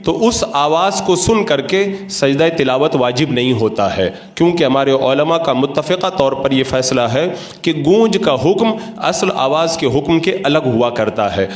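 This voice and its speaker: Male cleric, slightly higher-pitched, formal and didactic religious lecturer, recorded with clear room echo. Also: expressive, normal speed, few pauses. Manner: didactic, methodical, formal Urdu-laden diction. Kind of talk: religious lecture, explanatory sermon. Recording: roomy, echoing sound.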